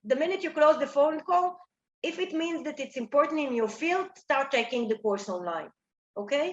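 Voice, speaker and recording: High-voiced woman coaching job seekers. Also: lively intonation, a little fast, roomy sound, highs cut off, a little hiss or hum. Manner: direct, coaching, repeats "okay?". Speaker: career workshop instructor. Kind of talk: workshop talk to job seekers.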